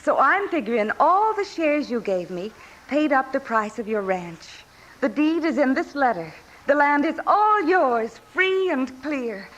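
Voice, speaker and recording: A woman playing a gentle, earnest frontier parent in 1950s Western radio drama style. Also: high-pitched, very animated, a little slow, muffled recording, full character voice. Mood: moved, tender. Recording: noisy vintage radio recording.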